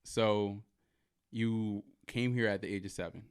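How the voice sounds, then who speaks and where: Low-voiced man hosting a chat. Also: fairly even intonation, measured pace, clear, resonant tone. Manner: supportive, frequent back-channel affirmations. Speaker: host. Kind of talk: casual interview conversation.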